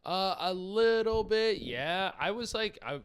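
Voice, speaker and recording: A man's voice: high-pitched, animated, takes it slowly, smooth. A young man from Canada, a comedian guest in a casual podcast chat, rambling and laid-back with casual swearing.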